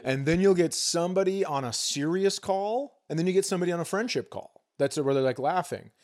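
Higher-voiced middle-aged male comedian. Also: fairly quick. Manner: profane, deadpan exaggeration. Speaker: comedian and podcast co-host. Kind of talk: comedic podcast banter and storytelling.

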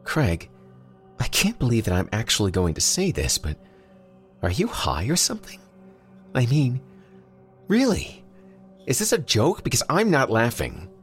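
A man voicing an exasperated, indignant wife in a horror story, scolding in a sharp, pinched way. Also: low voice, wildly swooping pitch, normal speed, pauses often, soft background music, heavily acted. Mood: scornful, questioning. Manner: sharp, pinched, bewildered annoyance.

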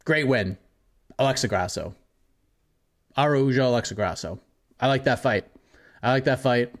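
Male podcast co-host, mid-pitched, animated ranter. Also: normal speed. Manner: animated, ranting with mock outrage. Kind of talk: casual chat.

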